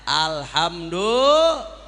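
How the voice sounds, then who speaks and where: A man's voice: on the high side, very animated, slow, a bit bright, big-room sound. A man preaching a sermon to a congregation as an impassioned orator, using repetition and rhetorical questions. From Jakarta, Indonesia. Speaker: Islamic preacher.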